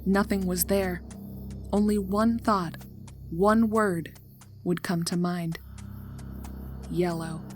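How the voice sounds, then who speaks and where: A woman narrator reading a scripted horror story in a measured, dramatic way. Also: on the low side, steady tone, darkish tone, faint music behind.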